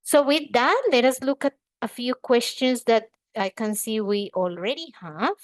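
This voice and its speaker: A woman's voice: on the high side, measured pace, no room sound. A woman presenting a professional webinar in an explanatory, emphatic way, like a lecturer walking listeners through points. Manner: explanatory, emphatic, poses questions then answers them.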